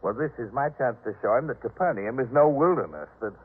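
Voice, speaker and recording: A man's voice: mid-range voice, lively intonation, quick pace, breathy edge. An American man playing a proud, boastful household master in a 1950s radio drama, with a pompous, patronizing manner. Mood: excited, urgent. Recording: narrow-band vintage 1950s radio recording.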